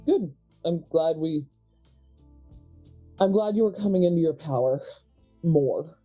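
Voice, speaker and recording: A woman playing a shrewd, manipulative sister from an occult family in a tabletop horror game, with a measured, pragmatic delivery. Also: low-pitched, expressive, dark tone, faint music behind. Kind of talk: improvised tabletop horror roleplay.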